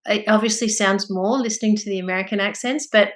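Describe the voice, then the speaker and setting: A woman's voice: medium pitch, fast. A woman in her forties with an Australian accent, a clinical psychologist speaking energetically as a podcast guest. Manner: bubbly, energetic, laughing easily. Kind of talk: casual interview.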